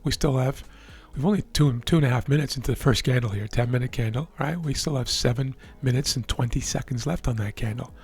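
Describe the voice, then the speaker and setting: A man with a hoarse, worn voice calmly explaining the markets as a trading educator, often checking in with the listener. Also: medium pitch, fairly quick, few pauses. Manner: relaxed, explanatory, checks in with "right?". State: hoarse, losing his voice. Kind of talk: live market commentary over a chart screen.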